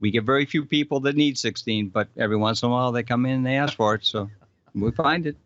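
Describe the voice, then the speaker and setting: An easygoing man with a Boston accent answers questions as a guest on a live stream, pausing a little before each reply. His voice is mid-pitched for a man. The audio is dry and muffled. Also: fairly bright tone, no room sound. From Boston area. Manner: easygoing, hesitant, friendly. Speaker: guest, shoe store employee. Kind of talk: casual interview answers.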